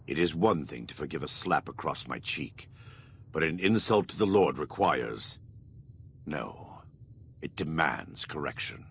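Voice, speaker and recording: Very deep, gravelly righteous warrior preacher. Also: male voice, fairly bright tone, slight background noise, full character voice. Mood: righteous indignation. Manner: solemn, measured, preacherly. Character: devout, vengeful former warlord, grave and righteous man. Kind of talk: video game dramatic dialogue.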